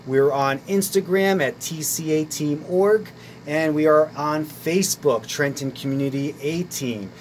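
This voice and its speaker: A man's voice: a little high, measured pace, slight background noise. A man speaking as a community arts organizer on a radio show, talking with enthusiasm and many "uh" fillers. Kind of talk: casual radio interview.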